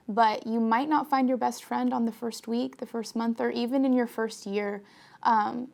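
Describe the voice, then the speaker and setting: A young woman, a college senior from Oregon, speaking earnestly and in a measured way as she introduces herself and gives advice. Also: a little high, vocal fry, darkish tone. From Oregon, USA. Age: young adult. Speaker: college student. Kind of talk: scripted self-introduction and advice.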